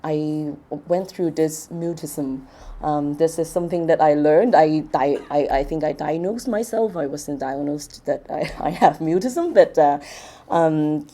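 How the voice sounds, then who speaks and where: Woman from Hong Kong, low voice, soft mellow tone, hesitant talk full of fillers, event presenter. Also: measured pace. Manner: hesitant, many fillers and restarts. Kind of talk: short talk to an audience.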